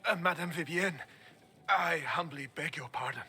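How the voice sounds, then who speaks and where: A man's voice: a little high, moderate pace, sharp tone, breathy edge, soft background music, full character voice. A man playing a haughty, pompous aristocrat in a video game, with a sneering manner. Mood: flustered. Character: haughty, scornful male aristocrat, quick to insult.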